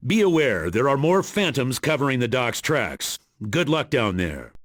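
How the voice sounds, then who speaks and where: Serious male agency chief for a game, authoritative and formal, with dramatic pitch movement. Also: sing-song, normal speed, a bit bright, dry recording, clean studio sound. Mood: urgent. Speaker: mission commander. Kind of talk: video game voice acting.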